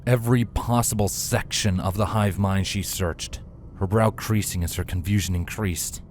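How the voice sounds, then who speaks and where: A man's voice: low voice, fairly even intonation, normal speed, a little hiss or hum. A man reading a scripted fantasy story aloud as a dramatic narrator. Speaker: fan fiction narrator.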